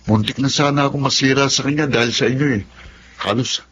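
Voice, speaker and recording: A man's voice: mid-range voice, steady tone, fairly quick, a bit bright, close to an everyday voice. A man playing an evasive, easily irked spendthrift son in a Filipino audio drama. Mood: casual. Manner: defensive. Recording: dull lo-fi audio, faint noise.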